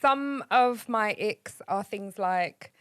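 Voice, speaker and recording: A young woman with a low voice, giving frank opinions in a casual podcast chat. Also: slow pace, fairly bright tone, smooth. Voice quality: low voice with bass. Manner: frank, opinionated, laughs at her own points.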